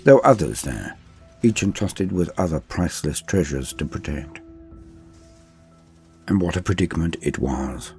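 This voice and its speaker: A man's voice: rumbling low, animated, normal speed, creaky, pauses often, a little mellow, faint music behind. A man giving a solemn, theatrical dramatic reading of a science fiction war story as its narrator.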